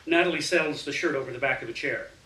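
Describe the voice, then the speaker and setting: A man reading a first-person short story aloud in an expressive, dramatic way. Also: medium pitch, quick pace. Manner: dramatic, animated delivery with acted dialogue. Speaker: reader of a short story to an audience. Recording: roomy, distant mic, faint noise.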